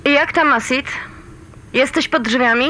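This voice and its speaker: An actress playing a wry, authoritative female mission controller in a sci-fi audio drama, talking in a brisk colloquial way. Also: high voice, background noise.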